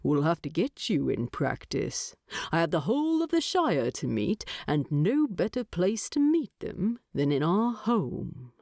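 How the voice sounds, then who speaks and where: Lady doing a dignified dwarf husband, low voice, slow n measured, fond and a bit wry, audiobook. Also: very animated, a little mellow, no echo, studio quality, exaggerated voice. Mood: cheerful. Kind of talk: audiobook character dialogue.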